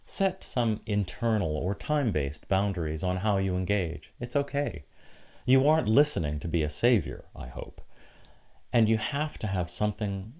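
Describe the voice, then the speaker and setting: A man preaching a reflective sermon in a deliberate, encouraging manner, with short emphatic phrases. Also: fairly low pitch, big pitch swings, darkish tone. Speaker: preacher giving an online church sermon.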